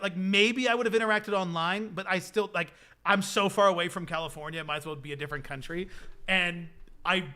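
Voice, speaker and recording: A Midwestern American man streaming in loose, rambling chat, often starting his sentences over. His voice is bright and sits high. He chuckles between thoughts. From United States. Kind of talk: casual live-stream chat.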